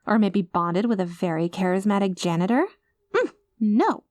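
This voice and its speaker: A woman's voice: medium pitch, animated, moderate pace, darkish tone, dry recording, close to an everyday voice. A woman acting an anxious, clingy, doting girlfriend with playful menace, in an intimate, emotive audio roleplay. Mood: playfully possessive. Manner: emotive, flustered, affectionate, teasing.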